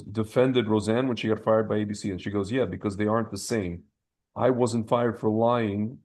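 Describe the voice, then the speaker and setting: A male podcast host with a fairly low, gravel-edged voice full of fry. He talks casually and with opinions, in slangy, rambling sentences. He often doubles back on phrases or drops them midway. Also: darkish tone.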